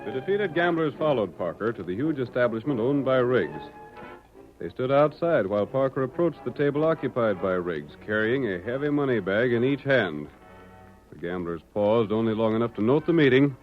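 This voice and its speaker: A man's voice: mid-range voice, expressive, normal speed, natural voice. A man with an American accent narrating a vintage radio western drama in a declamatory, dramatic way. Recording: muffled old radio recording, music behind.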